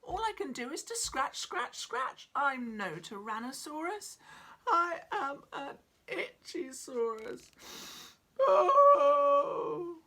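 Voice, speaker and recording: A woman's voice: sits high, sing-song, takes it slowly, sharp tone, voice pushed up, full character voice. A woman voicing a miserable, itchy male T-Rex in a children's storybook, whiny and melodramatic as he wails. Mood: sobbing, wailing.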